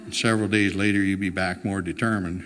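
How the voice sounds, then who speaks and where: A man's voice: low-pitched, steady tone, fast, fairly bright tone, in a large hall, pitched below the performer's own voice. A man voicing a reluctant, plainspoken husband in a storybook reading, stubborn, wry and resigned. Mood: resigned, tender.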